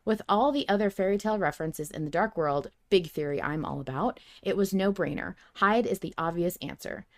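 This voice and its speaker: A woman co-hosting a fan podcast, chatting casually and reading out emails in an animated, emphatic way. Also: lowish voice, a little fast. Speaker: podcast co-host.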